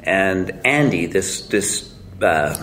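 A man's voice: low-pitched, expressive, slow pace, a bit bright, a little hiss or hum. Blunt, self-mocking man telling a story. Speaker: guest. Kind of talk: casual storytelling.

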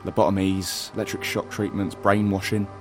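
Man playing an earnest, low-voiced rebel guide. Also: little pitch movement, moderate pace, close to an everyday voice. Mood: grave, insistent. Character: earnest, urgent male rebel guide, nerdy and protective. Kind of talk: audio drama acting. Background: music.

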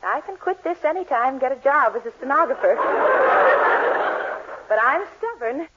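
Animated, exasperated radio marriage counselor. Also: female voice, sits high, moderate pace. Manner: crisp formality, barely contained exasperation. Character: exasperated professional woman marriage counselor, primly stubborn. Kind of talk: 1940s radio sitcom acting. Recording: muffled 1940s radio, faint noise.

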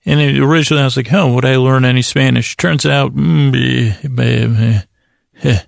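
A man with a creaky voice hosting a bedtime podcast in a meandering, halting, gently goofy monologue full of tangents and self-corrections. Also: mid-range voice, fairly even intonation, no echo, clean studio sound. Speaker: podcast host.

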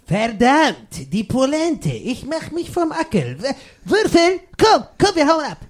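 A man's voice: very high-pitched, huge pitch swings, measured pace, heavily acted. A man playing a bumbling, vain scheming villain in a comedic audio drama, blustering and stammering. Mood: panicked scheming. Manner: blustering, stammering, exaggerated delivery. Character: bumbling, vain male villain, scheming would-be mad scientist.

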